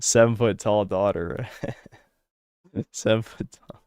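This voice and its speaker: A man's voice: fairly low pitch, slow, darkish tone, smooth, dry recording, studio quality. A young American man streaming, reading donation messages and answering chat in a blunt, crude, sarcastic way. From United States.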